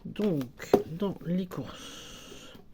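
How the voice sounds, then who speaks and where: A woman's voice: lowish voice, lively intonation, extremely slow, background noise. A woman with a cold and a French accent, talking casually and hesitantly as she fills budget envelopes, with many fillers and self-corrections. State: has a cold. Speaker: budgeting vlogger. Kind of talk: casual talk while filling budget envelopes.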